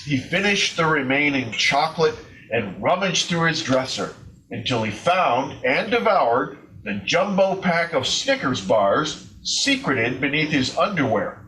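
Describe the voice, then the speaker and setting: A man reading a scripted story aloud as a readers theater performer, deliberately and with comic emphasis. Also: fairly high pitch, sing-song, sharp tone, faint music behind, rough recording.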